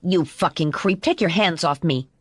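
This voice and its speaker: A woman's voice: fairly low pitch, moderate pace, slightly rough, dry recording, clean studio sound. A woman voicing a sly, resentful, hot-tempered teenage boy as an audiobook character. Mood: furious.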